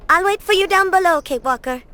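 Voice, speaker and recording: A woman's voice: extremely high pitch, brisk. A woman voicing a spunky, defiant young orphan girl in a video game, blunt and cheeky, with a childlike voice. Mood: eager, calling out. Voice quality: bright, childlike.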